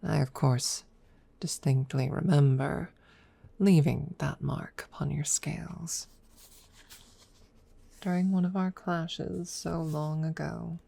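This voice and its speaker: A woman playing a proud, teasing dragon rival in a soft, measured ASMR audio roleplay, at times dropping toward a whisper. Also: low-pitched, fairly even intonation, gravelly, full character voice. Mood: smug, teasing. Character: proud, teasing dragon rival hiding affection, hoarder of treasure.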